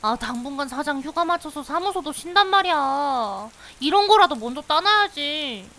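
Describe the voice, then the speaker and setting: A woman playing a persistent young female investigator in a visual novel, playful and sulky, with a wheedling, pouty, expressive delivery. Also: sits high, normal speed. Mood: insistent, evasive. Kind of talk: visual novel voice acting, anime-like drama. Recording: hiss with steady rain behind.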